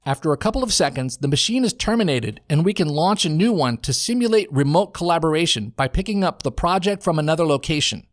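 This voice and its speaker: An American male voice-over narrator with a slightly high voice, recorded dry in a home studio. He reads a scripted software walkthrough in a measured, instructional manner. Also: expressive, no echo.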